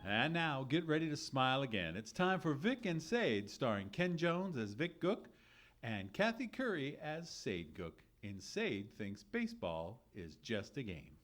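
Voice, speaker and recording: A man in the role of an old-time radio announcer. His medium-pitched voice rises and falls widely, with theatrical emphasis and polished scripted delivery. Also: big pitch swings, measured pace, loud music behind the voice. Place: live theatre stage.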